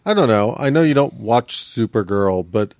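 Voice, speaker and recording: A man talks as a podcast co-host in a casual, measured way, working through his opinions as he goes. His voice sits at a middle pitch, and the sound is close and dry. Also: lively intonation, darkish tone, no echo. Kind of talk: casual panel chat about comics and TV.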